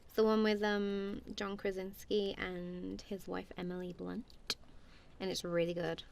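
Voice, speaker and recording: A woman's voice: medium pitch, level tone, measured pace, gravelly, a little mellow, a little hiss or hum. A woman doing a friendly, goofy ASMR roleplay as casual one-sided chat, playful and giggly, with a self-deprecating, apologetic manner.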